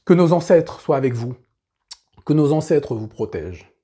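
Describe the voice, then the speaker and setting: A man's voice: a little high, big pitch swings, moderate pace, dark tone, slightly rough. A man hosting a casual monologue to his viewers, emphatic and repeating his phrases with many fillers. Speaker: host.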